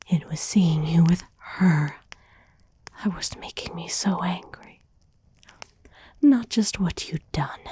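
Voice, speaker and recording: A woman's voice: fairly low pitch, warm tone, slightly rough, exaggerated voice. Woman acting a whispering, obsessive, possessive girlfriend. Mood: bitter, obsessive. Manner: intimate whispering, slow and halting, pleading. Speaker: ASMR voice actor. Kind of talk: whispered ASMR roleplay monologue.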